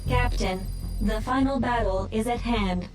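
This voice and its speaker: A woman acts as a composed machine mind guiding soldiers in a video game. Her voice sits fairly high, formal and measured, dutiful to the last. She sounds a little away from the mic, with music clearly playing underneath. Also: far from the mic, natural voice, low-quality recording. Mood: composed resolve. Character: calm, dutiful female artificial intelligence guiding soldiers.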